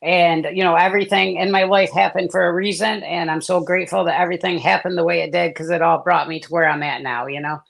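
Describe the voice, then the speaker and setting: A man with a gravelly, fry-laden voice tells his story in a casual, meandering way, circling back on himself with fillers. He was born in South Korea and raised in the US, and is speaking as a guest on a live stream. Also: fairly high pitch, fairly even intonation, quick pace, hardly any pauses, a bit bright. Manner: rambling, many fillers and restarts.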